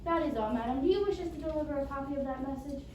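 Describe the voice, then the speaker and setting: A woman's voice: high-pitched, rapid-fire, a little mellow, close to an everyday voice. A woman acting a polite, matter-of-fact telephone clerk in an amateur radio play, with formal, courteous delivery. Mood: businesslike. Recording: echoey, distant microphone with faint noise.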